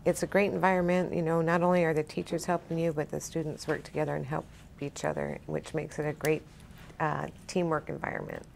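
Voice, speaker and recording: A middle-aged woman with a low, creaky voice gives a casual testimonial as a student. Faint background noise sits behind her. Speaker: college student.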